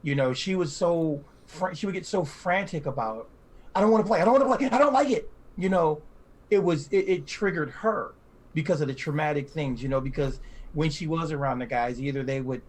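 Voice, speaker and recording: A man's voice: on the high side, expressive, a little fast. A man in his fifties or older talking emphatically in a casual discussion, repeating phrases for stress. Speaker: podcast panelist.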